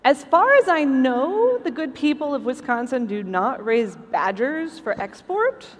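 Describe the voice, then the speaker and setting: Woman stage host, high voice, scripted comic presentation, recorded live in a big reverberant hall. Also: big pitch swings, a little slow. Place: large convention hall stage, live show.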